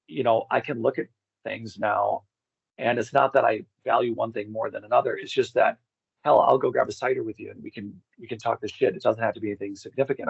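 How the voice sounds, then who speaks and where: Gravelly middle-aged man, roomy muffled sound. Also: medium pitch. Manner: candid, rambling, profane. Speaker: podcast interview guest. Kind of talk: casual personal storytelling.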